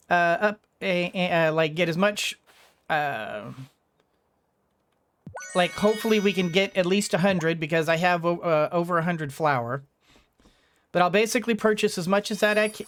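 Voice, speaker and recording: A gaming video host giving casual let's-play commentary, thinking out loud in fragments with playful asides. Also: mid-range voice, smooth.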